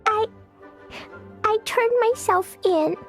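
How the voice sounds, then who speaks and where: A woman's voice: extremely high pitch, takes it slowly, heavily acted. A woman voicing an innocent, mischievous little girl knight in an anime game, with a cute childlike voice and an earnest, naive manner. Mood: guilty, tearful. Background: game music.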